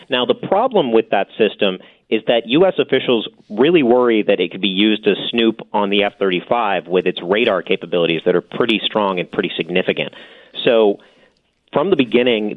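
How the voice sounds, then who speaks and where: A man reporting as a defense correspondent, explaining policy in a measured, explanatory way. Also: lowish voice, narrow, tinny audio. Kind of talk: news analysis.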